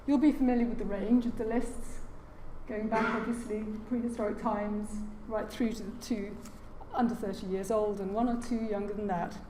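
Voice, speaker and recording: A soft-spoken woman giving a formal lecture as a guest speaker, with frequent hesitations and fillers. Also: mid-range voice, steady tone, normal speed. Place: large lecture room. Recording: echoey lecture hall, faint noise.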